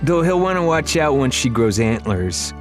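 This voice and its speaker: A man's voice: medium pitch, very animated, normal speed, pitched above the performer's own voice, lightly acted. A man voicing a teasing, grumbling fifteen-year-old farm lad in an audiobook, mocking and bantering over music.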